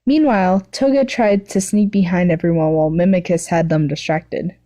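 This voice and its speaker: A woman's voice: on the low side, steady tone, normal speed, dark tone. A woman narrating fan fiction in a casual, amateur reading style, stumbling now and then and correcting herself.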